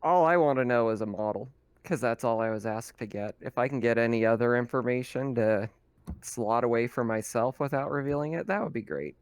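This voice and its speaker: Male tabletop player, mid-pitched, dry close recording, casual in and out of character. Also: a little fast, clean tone, dry recording.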